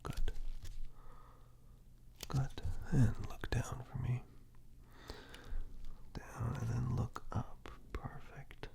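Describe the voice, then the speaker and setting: A man doing soft-spoken, half-whispered ASMR roleplay, reassuring and unhurried, speaking directly to the listener close to a binaural microphone. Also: little pitch movement. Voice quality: breathy whisper mixed with very deep, gravelly murmur. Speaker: ASMR performer playing caregiver and eye examiner roles.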